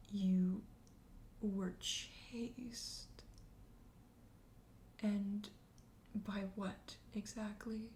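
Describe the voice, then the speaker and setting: A woman voicing a teasing, flirtatious, self-assured vampire host in a soft, breathy ASMR whisper. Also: mid-range voice. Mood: playful, curious. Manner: teasing, slow, coaxing. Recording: roomy echo, faint background noise.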